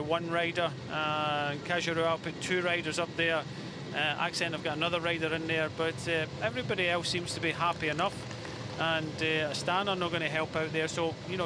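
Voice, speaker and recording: A man giving casual, explanatory live cycling analysis as co-commentator, speaking haltingly with frequent hesitations. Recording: loud vehicle and outdoor rumble.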